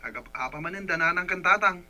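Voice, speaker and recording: A very sharp, dry male voice, a little high, plays out this troubled younger brother. He has a complaining manner in radio drama style. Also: fast, no room sound. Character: troubled young sibling reporting the parents' quarrels. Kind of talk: Ilocano radio drama acting.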